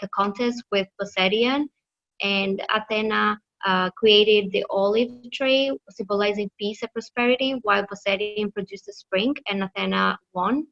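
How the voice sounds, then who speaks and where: A woman giving a class presentation with slides, pausing often with fillers and restarting her sentences. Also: mid-range voice, a bit bright, highs cut off. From Greece. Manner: hesitant, many fillers and restarts. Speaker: student presenter.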